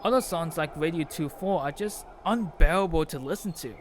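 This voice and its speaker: A man's voice: a little high, normal speed, heavy background noise. A man reading scripted video essay narration about a game, informally, with wry asides. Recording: loud music bed behind voice. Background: music.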